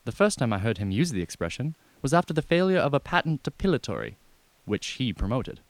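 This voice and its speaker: A man's voice: mid-range voice, big pitch swings, no room sound. A man playing a deferential, unflappable valet who speaks formally and at length in a comic radio drama. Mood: discreetly persuasive. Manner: formal, measured, circumlocutory.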